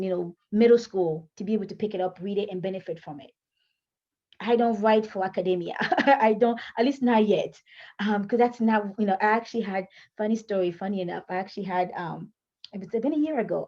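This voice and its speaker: A woman's voice: mid-range voice, warm tone, muffled recording. A woman whose first language is French, coaching writers in a chatty, self-correcting way, laughing between points. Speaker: writing coach. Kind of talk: casual teaching talk to camera.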